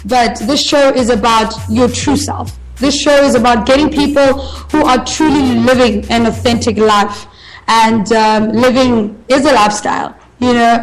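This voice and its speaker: A woman's voice: fairly high pitch, fairly even intonation, a little slow, soft background music, poor audio. A woman, a radio broadcaster giving an earnest, motivational interview and repeating her key phrases for emphasis. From South Africa. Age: 20s.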